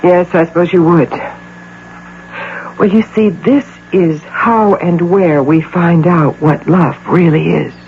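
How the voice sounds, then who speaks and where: A woman's voice: on the low side, slow. An American actress playing an imperious, middle-aged executive obsessed with motherhood, intense and theatrical in radio drama style. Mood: bitterly composed. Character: imperious, obsessive executive woman, desperately devoted imaginary mother. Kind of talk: 1980 radio drama acting. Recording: tinny, hissy old radio broadcast with hum.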